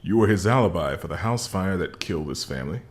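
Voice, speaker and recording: A man playing a gruff middle-aged detective and father in an audio drama, with a terse, dry delivery. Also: low voice, lively intonation, fast. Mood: probing.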